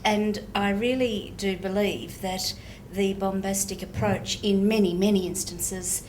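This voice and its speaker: A woman's voice: mid-range voice, steady tone, measured pace, a little mellow, slight background noise, poor audio. A woman barrister sharing her observations thoughtfully in a panel discussion.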